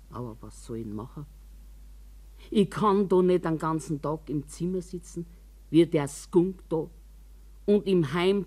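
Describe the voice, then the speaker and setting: A woman's voice: low voice, warm tone. An older actress playing a grumbling, wary old woman from a care home in a radio monologue, with a Bavarian accent and a rambling, complaining, confiding way of talking. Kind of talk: radio drama monologue in colloquial speech.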